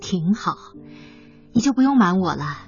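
An assertive ex-wife in a radio play, composed yet pointed. Her voice sits a little low, over clear background music in a slightly dull recording. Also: very animated, normal speed. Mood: pointed, probing. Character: assertive ex-wife returned from abroad, pointedly solicitous. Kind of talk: radio drama acting.

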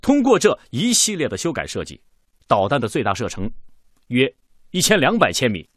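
An actor playing a practical, confident engineer in a radio drama, presenting a design in an orderly, persuasive way. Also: male voice, mid-range voice, huge pitch swings, moderate pace, fairly bright tone, no room sound. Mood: confident, explanatory.

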